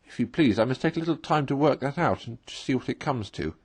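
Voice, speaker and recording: A man voicing a conceited, excitable toad from a storybook in a pompous, theatrical, emphatic manner. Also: medium pitch, fast, husky. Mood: haggling, affected.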